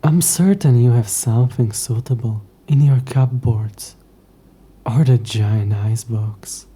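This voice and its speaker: A man playing a haughty, possessive ancient demon in a scripted audio roleplay, with a hushed, breathy voice and dry, condescending, formal speech. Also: mid-range voice, slow. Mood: grudging, curt.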